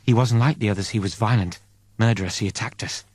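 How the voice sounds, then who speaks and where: A man's voice: on the low side, fast, a little mellow, slightly rough, dry recording. Audiobook role: male reader as a shaken, defensive woman of a starship crew, little vocal disguise, dry sound. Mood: defensive, grim. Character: defensive, shaken female spaceship crew member. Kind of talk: audiobook character voice.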